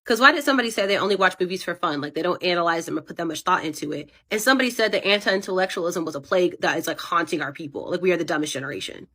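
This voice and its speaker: A woman's voice: fairly low pitch, very fast, hardly any pauses, pushed and bright. A woman delivering an emphatic, exasperated commentary clip.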